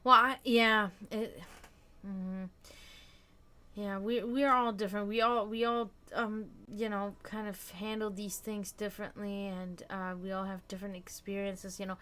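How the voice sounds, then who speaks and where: A woman in her thirties hosting a casual live stream, talking frankly and reflectively and often letting her sentences trail off quietly. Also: mid-range voice, flat delivery, unhurried. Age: 30s. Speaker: stream host.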